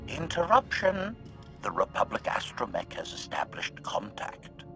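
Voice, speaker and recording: Animated, disdainful assassin droid. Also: male voice, on the low side, a little slow, gravelly, heavily acted. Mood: brisk, disdainful. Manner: clipped, formal, announcing each statement. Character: brisk, disdainful assassin droid with clipped formal speech. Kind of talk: video game cutscene acting. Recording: faint music behind the voice.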